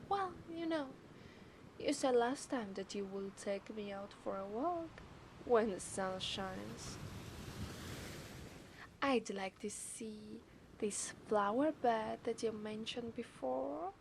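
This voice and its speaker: Woman acting a slow, high-voiced obsessive Italian-accented mermaid. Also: expressive, slight background noise, heavily acted. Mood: playful, curious. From Italy. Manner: slow, teasing, breathy half-whispers. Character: obsessive, possessive, seductive yandere mermaid. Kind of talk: ASMR roleplay voice acting.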